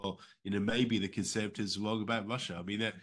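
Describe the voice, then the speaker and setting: A man's voice: on the low side, fairly even intonation, a little fast. A middle-aged man with a British accent, giving conversational answers as an interview guest on a video call. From United Kingdom. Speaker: interview guest, former diplomat. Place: indoor room on a video call.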